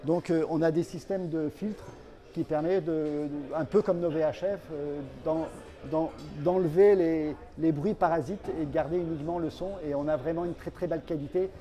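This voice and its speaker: A man speaking as a company representative in an interview, explaining products in a halting way and repeating words. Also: fairly high pitch, fairly even intonation, mellow.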